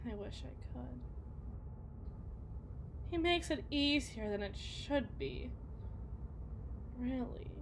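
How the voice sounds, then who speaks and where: A woman playing a bitter, self-loathing wife and mother in an ASMR roleplay, speaking in a theatrical, halting, rambling lament. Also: fairly high pitch, noisy background. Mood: bleak, resigned.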